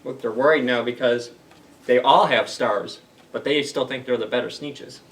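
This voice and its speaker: A man reading a storybook aloud to children in an animated way, pausing to explain simply and ask questions. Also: medium pitch, distant mic. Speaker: library story time reader.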